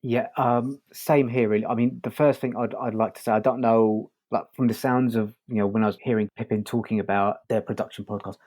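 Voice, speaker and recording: A man's voice: fairly low pitch, fairly even intonation, a little fast, few pauses, darkish tone. A man hosting a podcast, talking through a review in a casual, hesitant, circling way.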